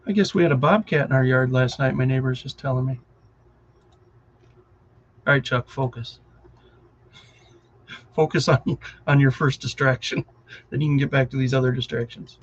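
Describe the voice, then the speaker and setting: A man's voice: mid-range voice, moderate pace, long pauses. Wisconsin guy in his middle years just rambling on a live stream, keeps getting sidetracked, kinda muffled sound. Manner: rambling, easily distracted, chuckling. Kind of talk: casual live-stream chat.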